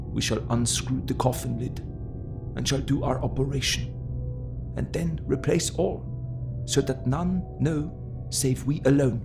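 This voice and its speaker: A slow, gravelly old professor. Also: male voice, medium pitch. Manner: deliberate, earnest, quaint non-native phrasing. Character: solemn, tender older male foreign professor and surgeon. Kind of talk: dramatic audio drama acting.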